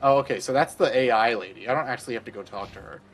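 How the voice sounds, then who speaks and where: A man's voice: mid-range voice, rapid-fire. A man streaming a game, rambling crudely in casual commentary and laughing often.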